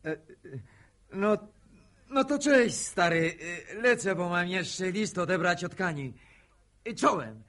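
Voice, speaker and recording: Radio drama: glib schemer, boastful, lively intonation, drawn-out delivery. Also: male voice, sits high, exaggerated voice. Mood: casual. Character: cocky, wheedling village schemer, male, talking his way out.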